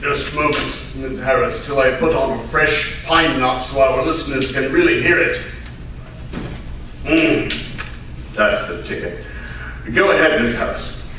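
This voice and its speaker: Man acting Doctor Watson, bright-voiced, in echoey hall. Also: mid-range voice, measured pace, pauses often. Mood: genial. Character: Doctor Watson, genial old-fashioned gentleman host by his fireside. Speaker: actor in an amateur radio play re-creation. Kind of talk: 1940s radio drama acting. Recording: very echoey live hall from far off, faint noise.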